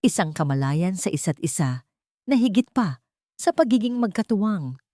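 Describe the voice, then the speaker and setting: A woman's voice: medium pitch, very animated, normal speed, mellow, no echo, studio quality. A woman narrating a scripted dramatic story.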